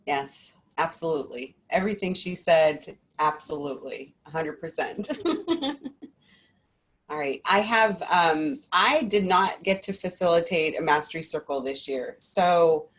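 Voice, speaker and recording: A woman hosting a group call, affirming others and introducing the next speaker in an unhurried way. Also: low-pitched, slight background noise. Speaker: call host.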